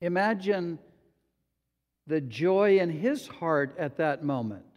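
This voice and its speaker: A male pastor preaching a sermon in a slow, deliberate way, sometimes acting out quotes. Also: on the high side, animated, muffled recording. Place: church sanctuary. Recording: long hall reverb.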